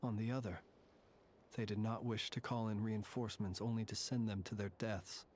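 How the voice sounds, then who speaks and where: An actor playing a solemn, courtly lighthouse warden who consoles ghosts in a video game, measured and eloquent. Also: male voice, lowish voice, steady tone, darkish tone, slight background noise, close to an everyday voice. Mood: sorrowful, reflective. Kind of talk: video game character acting.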